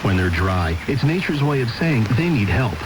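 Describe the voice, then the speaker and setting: A man's voice: medium pitch, big pitch swings, moderate pace. A man reading a scripted advertisement as a voice-over announcer on a hissy radio broadcast.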